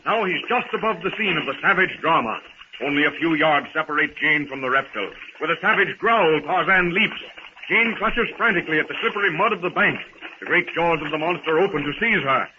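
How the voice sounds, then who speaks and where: Male radio serial narrator, high voice, suspenseful, declamatory, 1930s style, noisy dull recording. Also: expressive, fairly quick.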